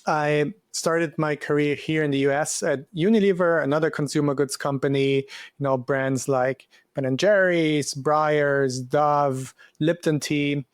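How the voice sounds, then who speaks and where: A man with a German accent talks through his career in a podcast interview, pausing on fillers as he explains. His voice sits a bit high for a man. The sound is dry and close, with no room in it. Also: fairly even intonation, clean tone, dry recording. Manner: thoughtful, explanatory, with frequent fillers. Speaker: guest, finance educator. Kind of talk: conversational interview answers.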